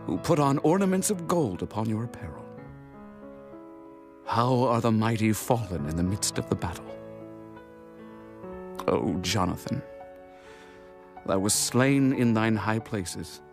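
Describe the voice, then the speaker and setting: A man playing a grieving young king and warrior in a dramatized scripture reading, reciting in a solemn, measured way. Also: medium pitch.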